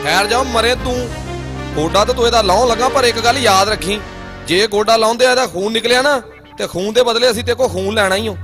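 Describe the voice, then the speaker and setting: A man's voice: high-pitched, fast talker, lightly acted. A man voicing a clever, cheeky young courtier in a comic cartoon dub, with a Punjabi accent. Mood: sly, firm. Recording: music behind the voice. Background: music.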